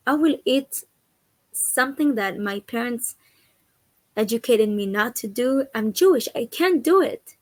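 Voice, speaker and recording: Young woman from israel talking earnest in an interview, kinda high voice, feels for words. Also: no room sound. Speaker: guest, activist and educator. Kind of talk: conversational interview.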